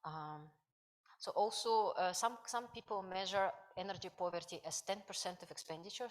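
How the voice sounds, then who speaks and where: Lady presenting research, lowish voice, lots of uh and like. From Kazakhstan. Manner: hesitant, many fillers, non-native grammar. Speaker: conference speaker, researcher. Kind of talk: academic conference talk.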